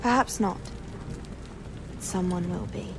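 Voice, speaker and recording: A woman playing a driven, self-assured witch in a video game, speaking in a solemn, deliberate, articulate way. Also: on the low side, over loud music. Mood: assured, secretive. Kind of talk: dramatic video game cutscene acting.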